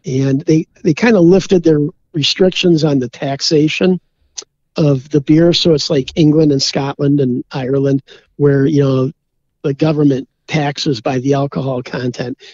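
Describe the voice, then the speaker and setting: A man's voice: on the high side, steady tone, unhurried, a little mellow, no room sound, muffled recording. A man from Minnesota, a beer brewer, chatting easygoingly as a podcast guest and filling his talk with "you know". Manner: rambling, easygoing, many "you know" fillers. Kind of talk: casual interview chat.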